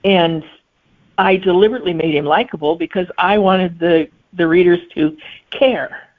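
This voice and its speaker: A middle-aged woman, a guest author, answering interview questions in a thoughtful way and pausing to find her words. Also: on the low side. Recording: phone-like remote line.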